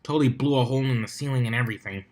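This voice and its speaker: A man voicing a brash female pegasus stunt flyer, gossiping in excitable slang for an amateur fan fiction reading. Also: medium pitch, racing, lightly acted. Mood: gossipy, excited. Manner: excitable, slangy storytelling with many likes.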